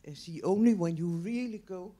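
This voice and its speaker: Mellow older Danish woman, hesitant. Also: on the low side, moderate pace. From Denmark. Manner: hesitant, repetitive. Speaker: audience member asking a question. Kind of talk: spontaneous personal comment and question. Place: audience at a public talk.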